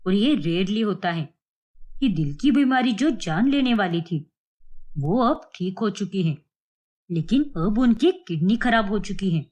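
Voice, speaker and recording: A woman's voice: mid-range voice, animated, darkish tone. A woman voicing a solemn male doctor with a formal, explanatory manner in a cartoon melodrama. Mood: grave. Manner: measured, explanatory.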